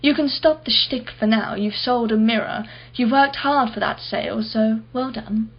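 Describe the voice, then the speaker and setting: A woman's voice: fairly high pitch, normal speed, highs cut off. An actress playing an imperious, wealthy businesswoman in a radio play, guarded and impatient, speaking in a clipped, formal way. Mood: impatient, dismissive.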